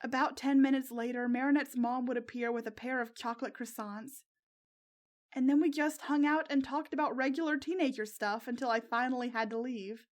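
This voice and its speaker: A woman reading fan fiction aloud as an expressive first-person story narrator. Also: sits high, fairly quick.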